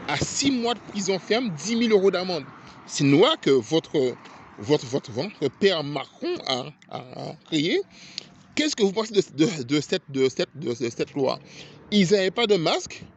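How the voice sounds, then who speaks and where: A man's voice: sits high, a little hiss or hum. A man with a foreign accent in French, ranting indignantly to camera in a vlog, stammering and repeating himself as he gets heated. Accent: non-native French accent. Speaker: vlogger. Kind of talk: angry political rant to camera.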